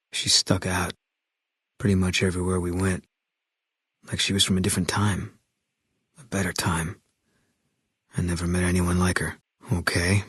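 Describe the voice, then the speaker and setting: An actor playing a terse, bitter ex-army sniper in a video game, speaking in clipped, blunt lines. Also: male voice, sits low, fairly bright tone, slightly rough, dry recording, clean studio sound. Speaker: companion character in a video game. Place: recording studio. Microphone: close studio microphone.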